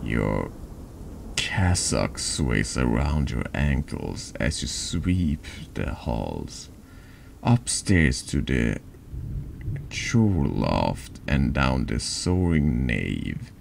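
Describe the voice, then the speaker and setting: Very deep, gravelly, slow-spoken man. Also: sing-song, slight background noise. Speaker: streamer reading a text adventure game aloud. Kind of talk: slow ASMR-style horror narration.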